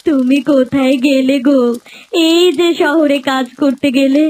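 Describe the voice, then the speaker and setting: Cartoon casting: spiteful young village wife, high voice, melodramatic delivery. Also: female voice, steady tone, unhurried, a little mellow, slight background noise. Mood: anxious, pleading. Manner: dramatic, exclamatory. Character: spiteful young second wife, scolding bully turned cowering servant. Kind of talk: Bengali cartoon voice acting.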